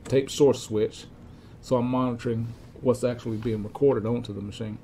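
Older man, gravelly and mellow. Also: mid-range voice. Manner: halting, methodical step-by-step explaining. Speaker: repair technician demonstrating equipment checks. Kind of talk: informal instructional demonstration. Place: cluttered indoor electronics workshop. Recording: clear steady background room noise.

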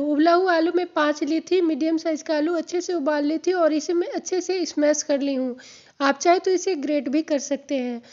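Slightly hoarse high-voiced woman teaching cooking. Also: darkish tone, muffled recording. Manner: friendly, instructive, repeats steps. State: slightly hoarse from a sore throat. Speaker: home cooking channel host. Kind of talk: step-by-step cooking tutorial. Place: home kitchen, indoors.

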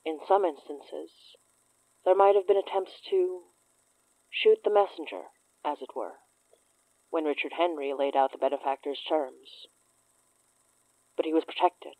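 A performer playing a solemn chronicler in a horror audio drama, reading a dossier in a measured, formal, deliberate way. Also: mid-range voice, mellow, dry recording, lightly acted, poor audio. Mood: ominous, measured.

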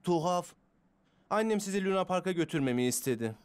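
An actor voicing a sullen, bossy teenage older brother in a cartoon dub. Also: male voice, high-pitched, expressive, fairly quick, smooth. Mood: indifferent. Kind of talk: cartoon dubbing.

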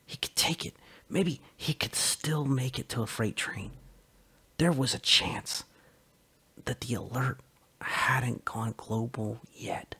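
A man's voice: medium pitch, slow pace, sharp tone, breathy edge. A man giving a dramatic reading of a short story as narrator.

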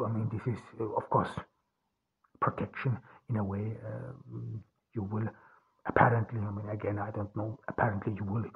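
A middle-aged man from Austria with a deeply husky, breathy voice that sits a little low. He talks through his ideas alone, haltingly, stopping and restarting often. The sound is thin and tinny, as if over a phone line. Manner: halting, many restarts and fillers. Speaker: podcast host. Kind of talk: solo spoken monologue.

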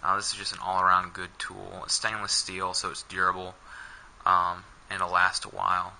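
Bright, gravelly low-voiced young man. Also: monotone, normal speed, a little hiss or hum, low-quality recording. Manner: hesitant, many fillers. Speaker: camping gear reviewer. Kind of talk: casual gear walkthrough. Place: small indoor room.

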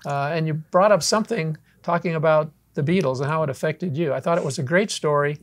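A man's voice: fairly high pitch, brisk. A man in his seventies hosting a panel discussion, rambling conversationally from one anecdote to another. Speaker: roundtable host. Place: drum studio, indoors. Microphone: lavalier mic.

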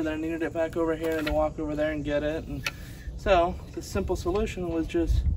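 A man in his thirties casually explaining tips beside a sawmill outdoors by a barn, filming on a handheld phone. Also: on the high side. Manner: plainspoken, explains while working with his hands. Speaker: sawmill hobbyist showing tips. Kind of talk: casual how-to demonstration. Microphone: phone camera held by the speaker. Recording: outdoor phone audio with clear background noise.

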